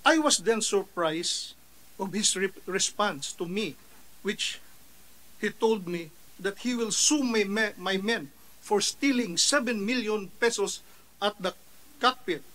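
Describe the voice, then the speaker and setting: High-voiced Filipino man reading a statement. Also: takes it slowly, rough recording. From Philippines. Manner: reads steadily from papers, rising and louder when quoting his own retort. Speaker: official testifying at a Senate hearing. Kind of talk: reading a prepared statement aloud. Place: large hearing room. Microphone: table microphone.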